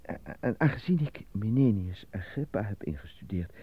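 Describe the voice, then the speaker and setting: A man playing an anxious, apolitical stage actor in a Dutch radio drama, with a theatrical manner that turns halting under nerves. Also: lowish voice, lively intonation, slightly rough. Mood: nervous, subdued. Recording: dull lo-fi radio drama recording.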